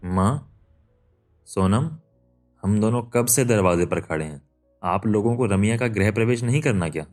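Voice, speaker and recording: A devoted young cartoon hero acted by a man with a low, earnest voice. He speaks firmly, with faint music underneath. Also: normal speed, darkish tone, lightly acted. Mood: impatient. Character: firm, devoted young man defending his sweetheart. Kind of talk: Hindi cartoon story dialogue.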